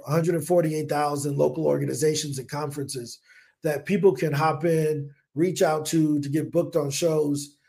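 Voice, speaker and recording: A man in his forties from the United States, a business owner telling stories on a podcast in an energetic, casual way, acting out his own past thoughts. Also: on the high side, gravelly. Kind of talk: casual interview talk. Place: home in Colombia.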